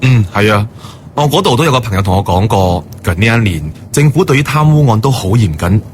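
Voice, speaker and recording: An actor playing an earnest, deferential young manager and boyfriend in a radio drama, polite and measured. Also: male voice, fairly low pitch, expressive, slight background noise, lightly acted. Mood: earnest, informative. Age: young adult. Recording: studio radio drama, music bed.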